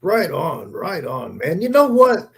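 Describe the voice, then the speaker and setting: A man's voice: high-pitched, huge pitch swings, slow pace, gravelly. A man hosting a podcast in a casual, conversational style. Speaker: podcast host. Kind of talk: casual chat.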